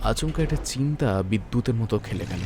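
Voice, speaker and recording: A man's voice: medium pitch, lively intonation, fairly quick, darkish tone, a little hiss or hum. A man narrating a scripted detective story in a dramatic storytelling style.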